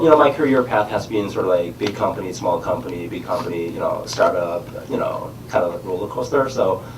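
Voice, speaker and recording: A middle-aged man with a Korean accent, his voice on the low side, talks haltingly and leans on fillers through a head cold. He sounds far from the mic in an echoey room. A faint hiss sits behind him. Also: darkish tone. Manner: halting, many "you know" fillers. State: has a cold, tongue numbed by lozenge. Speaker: startup founder. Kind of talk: casual onstage chat. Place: conference stage in a large room.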